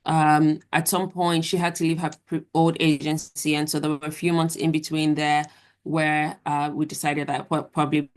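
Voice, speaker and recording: A young adult woman, a guest author, answering interview questions in a measured, thoughtful way. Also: deep voice, little pitch movement.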